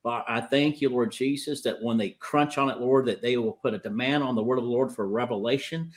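A man in his fifties with a speech impediment, preaching and praying spontaneously in a halting, repetitive way on a livestream. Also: medium pitch. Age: 50s. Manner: halting, repeats and stumbles over words. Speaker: preacher leading prayer. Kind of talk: spontaneous charismatic prayer and exhortation. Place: online livestream call.

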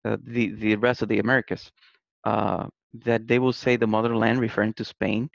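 A low-voiced man with a gravelly edge, talking haltingly with lots of fillers. The recording is dry and dull, with a lo-fi feel. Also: flat delivery, no room sound. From Puerto Rico. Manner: hesitant, many fillers and repeated words. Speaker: podcast guest. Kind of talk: casual conversation.

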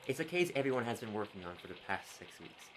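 A man playing an earnest, needy would-be sidekick and exasperated straight man in a dark comedic radio play, pleading and insistent. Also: lowish voice, big pitch swings, fast, noisy background. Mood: grave.

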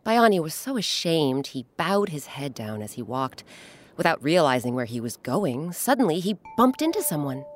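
A woman's voice: low voice, big pitch swings, moderate pace. A woman hosting and narrating a children's story, with dramatic, emphatic phrasing. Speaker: host and narrator.

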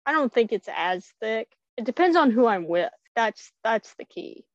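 A young woman with a light Louisiana accent co-hosts a casual podcast in a slightly high voice. She breaks in with wry, teasing remarks. The recording is dry and a bit muffled. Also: big pitch swings, normal speed, no room sound. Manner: wry, teasing side comments. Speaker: podcast co-host. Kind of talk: casual chat.

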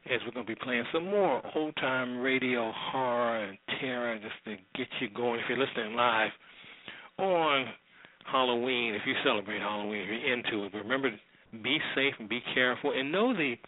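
A man hosting a radio show in casual, informal chat, rambling along with asides. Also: mid-range voice. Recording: very muffled, phone-like narrow sound.

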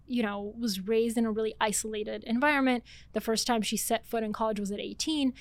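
A woman's voice: a little high, no echo. A woman from Bulgaria, in her thirties, talking animatedly as a guest author in a casual podcast conversation. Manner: animated, fast-talking, laughs often.

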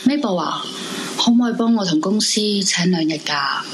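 A lonely, heartbroken woman voiced by an actress with a slightly low voice, brooding and weary. It is a horror radio play, recorded live with noticeable noise. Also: expressive, normal speed, natural voice. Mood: withdrawn. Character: lonely, weary, clinging heartbroken woman past twenty-five. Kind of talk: live horror radio drama, confessional monologue. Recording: noisy live room recording.

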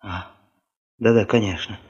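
An actor playing a young, polite, bewildered geologist in a radio drama, speaking in a hesitant, muted way. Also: male voice, fairly low pitch, fairly even intonation, unhurried, darkish tone, long reverb tail, muffled recording, lightly acted. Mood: stunned, lost. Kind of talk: Soviet radio drama acting.

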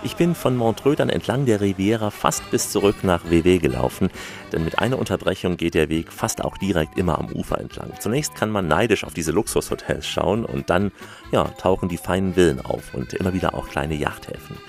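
A man presenting a radio travel feature, narrating calmly and then chatting with a guest on an outdoor terrace. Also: low voice, a little fast, vocal fry, faint music behind. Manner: calm narration, chatty questions. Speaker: radio travel reporter and host.

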